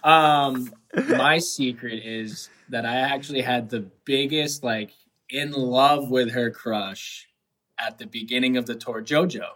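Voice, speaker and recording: A man's voice: mid-range voice, unhurried, a bit bright, poor audio. Laid-back joking man in his twenties. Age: 20s. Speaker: guest musician. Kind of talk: casual interview chat.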